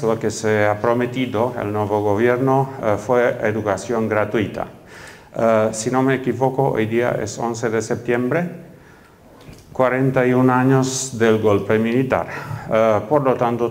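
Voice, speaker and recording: A middle-aged man from Romania with a Romanian accent, giving a conference keynote talk with frequent hesitant fillers. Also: on the low side. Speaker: keynote speaker. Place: conference hall.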